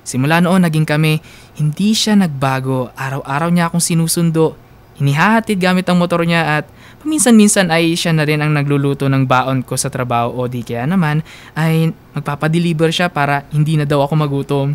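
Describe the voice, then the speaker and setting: A woman's voice: sits low, brisk, clean tone, natural voice. A young woman playing a fond storyteller who narrates her love story sincerely in a confiding first-person radio drama. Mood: fond, reflective.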